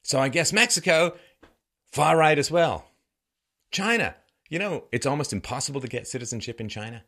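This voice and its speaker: A man's voice: fairly high pitch, expressive, normal speed, fairly bright tone. A man from Ireland and England delivering an opinion monologue in a sarcastic, rhetorical manner with theatrical emphasis. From born in Ireland, grew up in England. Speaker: host of a political commentary show.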